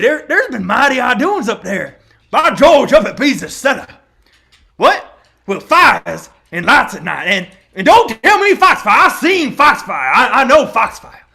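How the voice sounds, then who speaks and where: A man playing a folksy, excitable old rural yarn-spinner in a radio play, rambling and halting with a creaky voice. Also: very high-pitched, exaggerated voice. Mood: spooky, confiding, then agitated, insistent. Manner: rambling, halting, repeats himself, rustic speech. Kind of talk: vintage radio drama acting.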